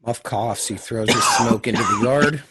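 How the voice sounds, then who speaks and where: A man with a husky voice reading scripted stage directions as the narrator of a screenplay. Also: medium pitch, normal speed, fairly bright tone.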